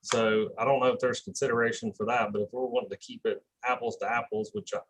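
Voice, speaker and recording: A man's voice: mid-range voice, flat delivery, fast, fairly bright tone, a little hiss or hum, poor audio. A man serving as a commissioner, making an explanatory comment in a formal meeting.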